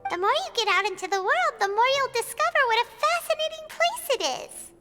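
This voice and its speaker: A tiny, sweet fairy sidekick from a video game. The voice is squeaky, childlike and extremely high, bouncing up and down cheerfully over loud music. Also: female voice, animated, fairly quick. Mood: sweet, encouraging.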